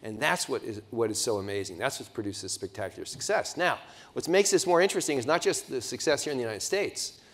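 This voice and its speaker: A man's voice: mid-range voice, expressive, fast, fairly bright tone. A man in his sixties giving a conference keynote from a podium in a large hotel ballroom on a lapel mic, engaging and putting questions to the audience, with frequent restarts. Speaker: keynote speaker.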